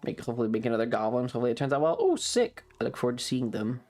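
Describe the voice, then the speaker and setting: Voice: medium pitch, very animated, very fast, darkish tone. A young adult streamer chatting casually to viewers in a hesitant, rambling way that often repeats phrases. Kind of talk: casual livestream chat.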